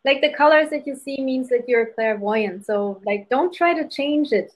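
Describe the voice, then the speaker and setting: A woman from Germany with a German accent, hosting live spirit readings and guiding a meditation over a video call in a relaxed way, often saying "um" and "like". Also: sits high, normal speed. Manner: relaxed, many fillers like "um" and "like". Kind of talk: casual guided meditation and readings.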